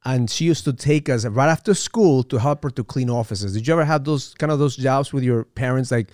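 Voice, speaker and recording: A man from Ecuador hosting a podcast interview, animated and often repeating words as he starts sentences. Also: mid-range voice, fairly quick, no room sound, studio quality. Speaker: podcast host. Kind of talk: casual interview.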